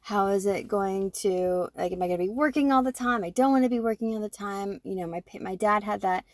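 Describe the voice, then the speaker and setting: A woman in her forties, co-hosting a podcast, talking through her own thoughts in an animated way and often checking in with "right?". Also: mid-range voice, a little fast, no room sound. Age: 40s. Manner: animated, self-reflective, fond of tag questions like "right?". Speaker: podcast co-host. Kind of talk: casual conversation.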